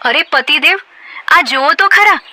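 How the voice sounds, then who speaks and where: A woman's voice: sits high, expressive, unhurried, pitched above the performer's own voice. A woman voicing an eager, affectionate female goat wife in a cartoon. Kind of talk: cartoon voice acting. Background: background music.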